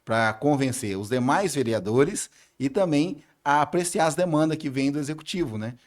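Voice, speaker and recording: A man's voice: fairly high pitch, expressive, moderate pace. A Brazilian man answering questions in a conversational way, as a political candidate being interviewed.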